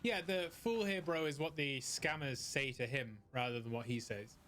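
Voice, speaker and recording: A man's voice: medium pitch, normal speed, fairly bright tone, slight background noise. A man from northern UK with a mild British accent, chatting casually and laughing easily while live streaming a game. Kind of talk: live gameplay chat. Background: game music.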